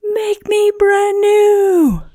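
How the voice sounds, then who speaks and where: A woman's voice: very high voice, drawn-out words, warm tone, no echo, clean studio sound, pitched above the performer's own voice, heavily acted. A woman voicing a lovable, cheerful, dramatic snowman who is forever cold, in a children's storybook read-aloud. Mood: pleading.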